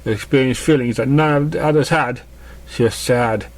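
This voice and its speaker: A man's voice: mid-range voice, moderate pace. A man reading a story aloud as an amateur narrator, now and then stumbling over the words. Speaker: amateur fan fiction reader.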